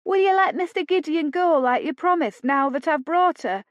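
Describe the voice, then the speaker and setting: A woman's voice: extremely high pitch, moderate pace, no room sound, voice pushed up. A woman voicing a pushy, scheming young country woman who urges and wheedles. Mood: urging. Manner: urging, wheedling. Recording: dull lo-fi audio.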